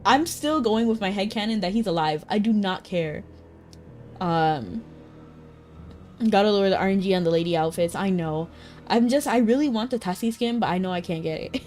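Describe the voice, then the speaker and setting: A woman's voice: mid-range voice, normal speed, darkish tone. Chatty woman streamer reacting to a game. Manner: chatty, reactive, playful, slangy. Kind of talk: casual running commentary on game story. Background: faint game music.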